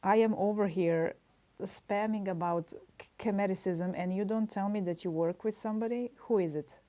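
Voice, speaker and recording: A woman's voice: medium pitch, moderate pace, warm tone. A woman livestreaming while she draws, chatting casually with her viewers. She rambles in playful asides, laughs often and teases her chat with mock indignation. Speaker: livestreaming artist.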